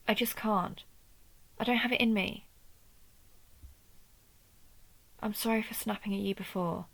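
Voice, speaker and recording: A wounded, proud vampire huntress acted by a woman with a creaky, measured voice, the sort heard in a late-night audio drama guarding a friend outside a ruined temple. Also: medium pitch, rough recording. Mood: firm, reluctant. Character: injured female vampire hunter of vampires, protective, proud, wry. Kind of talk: scripted audio roleplay addressed to the listener.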